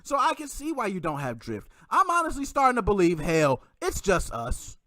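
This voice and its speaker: A man's voice: high-pitched, wildly swooping pitch, moderate pace, sharp tone, dry recording. A man co-hosting a casual livestream, full of hype slang, laughing often and asking "you know what I mean".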